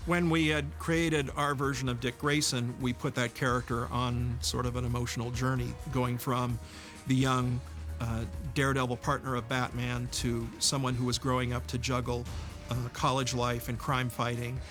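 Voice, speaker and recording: A man gives a reflective interview about creative work. His pitch is average and stays quite level, and he explains things in a measured, articulate way. Music plays clearly behind him. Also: little pitch movement. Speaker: animated series writer-producer interviewed.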